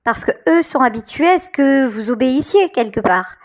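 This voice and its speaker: A woman giving an intuitive tarot reading to her viewers, often pausing and searching for her words. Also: sits high, expressive. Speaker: tarot reader, channel host.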